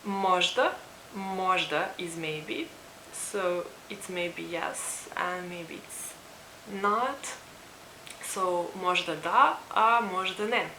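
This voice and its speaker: A woman with a Serbian accent teaches phrases in an informal lesson, taking her time and repeating things. Her voice is crisp and bright. The recording has a roomy echo and a faint hiss. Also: on the low side, extremely slow. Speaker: language teacher presenting a lesson.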